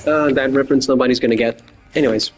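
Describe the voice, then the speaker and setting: A young man with a faint Boston accent hosts a casual solo podcast, rambling in a crude, joking way with deadpan asides. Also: medium pitch, quick pace, faint music behind. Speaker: podcast host.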